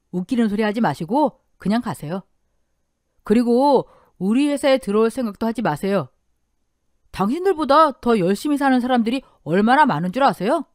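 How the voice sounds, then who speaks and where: A woman's voice: fairly high pitch, a little mellow, no echo, studio quality, pitched above the performer's own voice, natural voice. A woman voicing a young married man who answers in a cold, pointed way.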